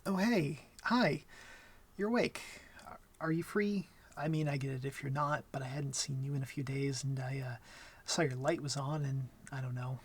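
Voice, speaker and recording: A young man playing an awkward, gentle vampire in an intimate ASMR roleplay, talking softly and hesitantly with a wry streak. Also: medium pitch, normal speed, slight background noise, close to an everyday voice. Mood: shy, hopeful. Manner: hesitant, soft-spoken, wry.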